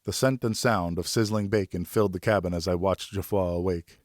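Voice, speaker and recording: A man's voice: low voice, fairly even intonation, brisk, dry recording, studio quality. A man reading a scripted first-person novel as an audiobook narrator.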